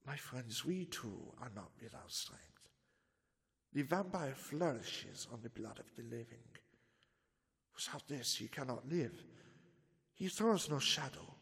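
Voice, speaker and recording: An actor playing a grave, elderly male vampire-hunting professor, speaking with solemn, slow, commanding deliberation in 1930s radio-drama style. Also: a little high, a little mellow, breathy edge, long reverb tail, exaggerated voice. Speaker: Van Helsing.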